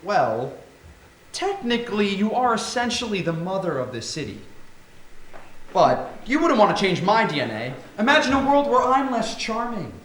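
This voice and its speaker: A man's voice: high voice, very animated, normal speed, a bit bright. A man playing a charming, teasing doctor in a sci-fi stage reading. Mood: teasing, flirtatious. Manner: playful, teasing, theatrical. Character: charming, flirtatious, teasing male doctor in a Martian colony. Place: theatre stage. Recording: roomy theatre recording with faint noise.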